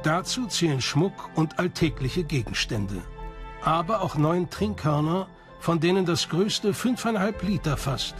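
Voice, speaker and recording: A man's voice: fairly high pitch, lively intonation, unhurried. A man reading scripted narration for a documentary. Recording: music behind the voice. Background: background music.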